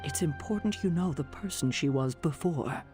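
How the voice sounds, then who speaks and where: An actor playing a loving fatherly man who gently reassures his grown child in a game cutscene. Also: a little high, normal speed, over music, lightly acted. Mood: tender.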